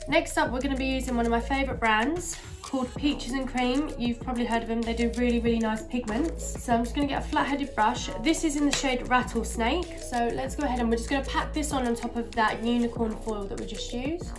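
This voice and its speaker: Brief: woman vlogger, bunged-up nasal voice, a little high, chatty with music beneath. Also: fairly quick, hardly any pauses. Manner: chatty, many fillers. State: stuffy nose from a cold. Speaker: beauty vlogger. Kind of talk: casual makeup tutorial.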